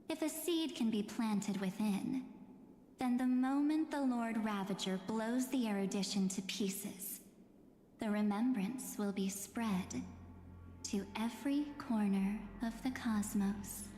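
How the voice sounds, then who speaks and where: Slow, echoing mocking mystery woman played by an actress. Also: medium pitch, dark tone, a little hiss or hum, close to an everyday voice. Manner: deliberate, condescending, dramatic. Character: calm, mocking, enigmatic woman revealing cruel cosmic truths. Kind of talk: theatrical scripted game dialogue. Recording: echoey cavernous hall effect, music behind.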